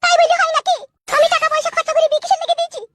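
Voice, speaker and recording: Shrill, fast-scolding village mother. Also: little pitch movement, dry recording. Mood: indignant scolding. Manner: brash, nagging, combative. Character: shrill, foul-mouthed, scolding middle-aged village mother. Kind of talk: bawdy cartoon comedy acting.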